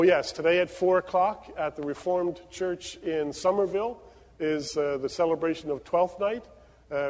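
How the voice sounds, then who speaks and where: A man's voice: a little high, darkish tone. A man from Venezuela, a pastor leading prayers and giving announcements with many fillers. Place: church sanctuary. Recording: long reverb of a church sanctuary.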